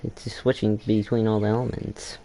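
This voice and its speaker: A man's voice: on the low side, flat delivery, a little fast, warm tone, slight background noise. A man giving casual, off-the-cuff commentary while he plays a video game. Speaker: gamer, channel host.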